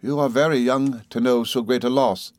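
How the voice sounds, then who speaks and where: A man's voice: medium pitch, steady tone, moderate pace, darkish tone, no echo, voice pushed up, natural voice. A man voicing a proud, stiffly composed older mother who speaks in a formal, restrained way. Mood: grave.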